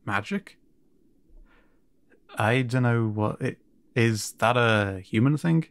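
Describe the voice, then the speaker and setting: A man playing a kind, awkward siren merman in an audio roleplay, speaking to the listener in a hesitant, stammering, gently encouraging way. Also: on the low side, dry recording. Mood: gentle, surprised. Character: kind, awkward male siren merfolk guiding a newcomer. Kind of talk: intimate audio roleplay drama, addressed to the listener.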